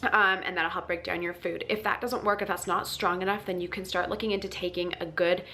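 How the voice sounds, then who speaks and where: A woman's voice: lowish voice, fairly even intonation, a little fast, pushed and bright, a little hiss or hum. A woman with a stuffy, congested voice from a cold, giving chatty wellness advice to her channel with lots of ums and restarts. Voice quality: nasal, congested. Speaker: wellness vlogger. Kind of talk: casual advice to camera.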